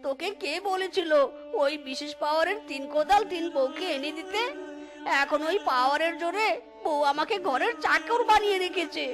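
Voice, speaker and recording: An exasperated fox husband in a cartoon. The voice is squeaky high, whining and scolding in melodramatic fashion, with music behind it. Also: female voice, moderate pace. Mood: self-pitying. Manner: melodramatic wailing complaints. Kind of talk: Bengali cartoon dialogue.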